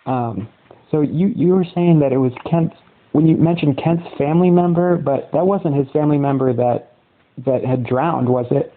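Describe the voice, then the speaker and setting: Interviewer on a tinny phone line. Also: male voice, on the high side, normal speed. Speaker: interviewer, channel host.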